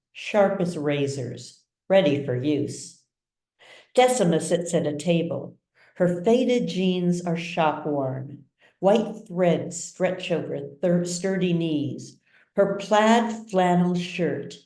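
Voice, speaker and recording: A woman narrating a literary story as its author, with measured, dramatic delivery. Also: lowish voice, dark tone. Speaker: author reading own novel. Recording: roomy echo, distant mic.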